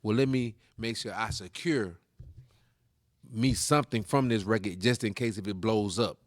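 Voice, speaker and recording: A man co-hosting a casual podcast chat, arguing his points and laughing, saying he is high. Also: moderate pace, dry recording, studio quality. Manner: argumentative, animated, laughing. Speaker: podcast co-host.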